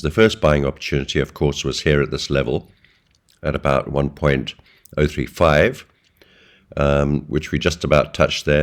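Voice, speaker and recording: A man with an extremely deep, low voice that is hoarse from a flu. He talks through a weekly trading update in a relaxed way, with plenty of ums and uhs. It sounds like he is recording a voice-over at a home desk. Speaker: forex trading analyst. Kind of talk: screen-share market update.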